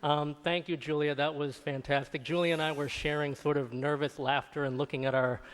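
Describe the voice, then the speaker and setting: A man giving an informal, halting acceptance speech, repeating words as he goes, in a reverberant hall. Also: on the high side, little pitch movement, a bit bright. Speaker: award honoree. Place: large indoor event hall. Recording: echoey hall with long reverb.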